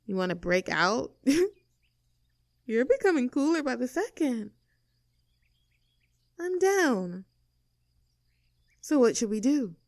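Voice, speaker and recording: A woman's voice: high-pitched, very animated, takes it slowly, pauses often, darkish tone, no echo, studio quality, heavily acted. A woman playing a cocky, rebellious young mean girl in an audio roleplay, snarky, bossy and self-assured as she talks to the listener. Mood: teasing.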